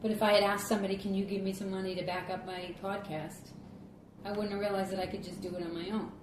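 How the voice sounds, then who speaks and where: A woman with a Boston accent hosting a podcast interview in casual chat, laughing often and talking in quick run-on bursts. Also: mid-range voice, level tone, echoey room, slight background noise, poor audio. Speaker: podcast host.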